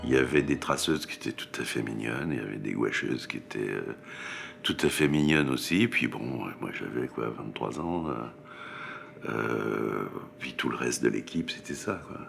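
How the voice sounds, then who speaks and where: Middle aged guy, super low and croaky, chatting about old days, bit of rumbly noise. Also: animated, moderate pace, a bit bright. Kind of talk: casual interview reminiscence. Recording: faint rumbling background noise.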